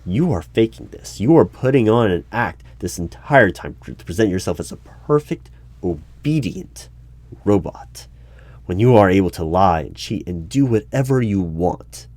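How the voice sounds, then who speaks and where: A man playing a wary, probing hiring manager in a one-sided audio roleplay, speaking haltingly with stammers and repeats. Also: deep voice, animated, darkish tone, no room sound. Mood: accusing, suspicious. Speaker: hiring manager at a secret agency. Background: computer keyboard typing.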